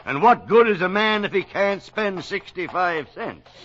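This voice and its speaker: Genteel 1890s suitor, excitable, forceful bright tone, 1940s radio sketch style, dull vintage recording. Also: male voice, high voice, measured pace, a little hiss or hum. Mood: eager.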